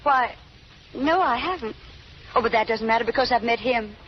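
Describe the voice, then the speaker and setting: A woman's voice: a little high, moderate pace, close to an everyday voice. A woman playing a young, trusting small-town sweetheart in 1930s radio drama, earnest and girlish. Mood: friendly, confident. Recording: muffled, hissy old radio broadcast.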